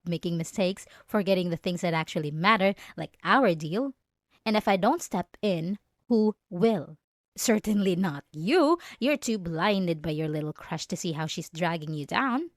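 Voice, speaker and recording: A woman's voice: on the low side, animated, a little slow, no echo, clean studio sound. A young woman playing a jealous, condescending yandere student bully in an ASMR roleplay, speaking straight to the listener with sarcastic, taunting emphasis. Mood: controlling, menacing.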